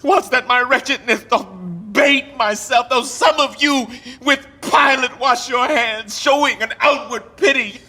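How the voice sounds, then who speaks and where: A man acting a deposed English king in Shakespearean verse, grieving and bitterly ironic, with a theatrical, deliberate delivery. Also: extremely high pitch, heavily acted. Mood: wounded outrage. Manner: theatrical, deliberate, swinging from hushed to declaiming. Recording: long reverberant hall.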